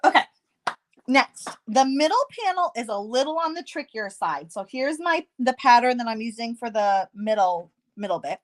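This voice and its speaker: A woman hosting a casual card-making tutorial, chatty and self-deprecating, slightly hoarse with a stuffy nose. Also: on the high side, expressive, normal speed.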